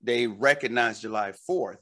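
A man's voice: fairly low pitch, normal speed, a bit bright. Jokey man in his forties. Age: 40s. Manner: jokey, drawling storyteller. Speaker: podcast co-host. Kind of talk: casual chat.

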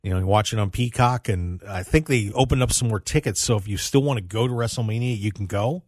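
Low-voiced man hosting casual sports talk radio. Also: fast talker, darkish tone, dry recording, clean studio sound. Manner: conversational, plugging show to listeners. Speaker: radio show host.

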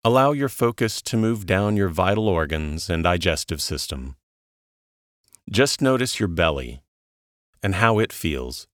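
A man with a low voice leads a guided relaxation. He speaks very slowly and calmly, with soothing, measured instructions and long pauses. The sound is dry, with no room around the voice. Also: no echo. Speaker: guided meditation narrator. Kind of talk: slow guided relaxation narration.

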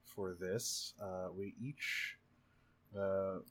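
A man narrating improvised action in a tabletop roleplaying game, halting as he thinks aloud, with dramatic flourishes. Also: low-pitched, fairly even intonation, a bit bright. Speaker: tabletop game player narrating his character.

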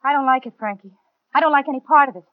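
A woman's voice: high voice, rapid-fire, no echo, close to an everyday voice. An actress playing an anxious, pleading young gangster's girlfriend in a 1940s radio crime drama. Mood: troubled, pleading. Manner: pleading, earnest. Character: anxious, conscience-stricken girlfriend and robbery accomplice. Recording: muffled 1940s radio recording.

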